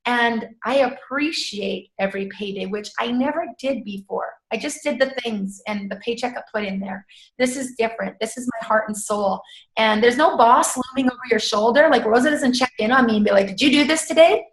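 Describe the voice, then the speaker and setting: A woman speaking casually and with feeling about her work as a coach, emphatic and quick to laugh. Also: a little high. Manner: enthusiastic, emphatic, laughs often. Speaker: network marketing coach. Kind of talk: casual testimonial talk. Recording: echoey room.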